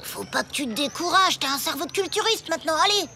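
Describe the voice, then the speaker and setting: A creaky little cartoon boy, loyal sidekick, kinda skeptical, music under it. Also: sits high, animated, quick pace. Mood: encouraging. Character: schoolboy best friend, loyal, doubtful, encouraging. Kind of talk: French children's cartoon voice acting. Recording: music behind the voice.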